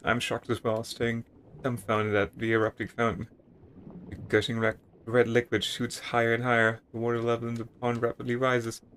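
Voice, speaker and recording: A man's voice: mid-range voice, a little hiss or hum. A man reading a text adventure game aloud in a dramatic storytelling way, now and then tripping over words. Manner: theatrical, stumbles over words while reading.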